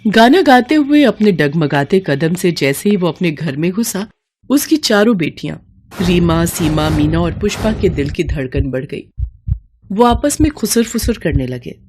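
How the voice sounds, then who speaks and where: A woman's voice: on the low side, expressive, unhurried, background noise. A woman storyteller delivering scripted, dramatic narration of a moral tale.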